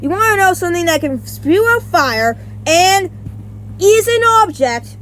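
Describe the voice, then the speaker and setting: A woman's voice: very high-pitched, expressive, a little slow, a bit bright. A woman giving casual versus-battle commentary, rambling with many restarts and self-corrections and some casual swearing. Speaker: YouTuber.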